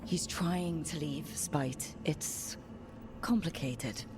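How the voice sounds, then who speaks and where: A woman playing a steady, caring adventurer in a video game, speaking in an earnest, frank and reasoning way. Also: low voice, very slow, background noise. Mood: understanding. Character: steady, caring, frank female adventurer talking a companion through pain. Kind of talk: dramatic video game voice acting.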